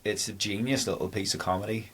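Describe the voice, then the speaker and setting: A man's voice: fairly low pitch, steady tone, quick pace, a bit bright, husky. A man hosting a podcast, enthusiastic, stammering at the start of his sentences. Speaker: podcast host. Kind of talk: casual podcast chat.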